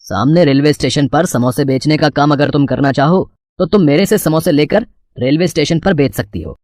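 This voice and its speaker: A man plays a kindly male sweet-shop owner in a cartoon story. He is fair and measured, with a businesslike way of talking and a dry, close sound. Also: on the high side, a little mellow, no echo, natural voice.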